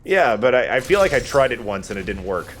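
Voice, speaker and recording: A man's voice: medium pitch, sing-song, fairly quick, fairly bright tone. A man giving casual, jokey commentary while he plays a video game, swearing now and then. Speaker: let's play host playing the game. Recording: game music behind the voice. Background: video game music.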